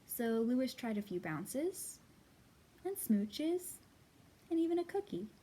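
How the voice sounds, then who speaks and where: Higher-voiced woman leading children's storytime. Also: slow pace, breathy edge. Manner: expressive, simple phrasing addressed to small children. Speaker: children's library storytime host. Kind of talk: storytime read-aloud and singalong for young children.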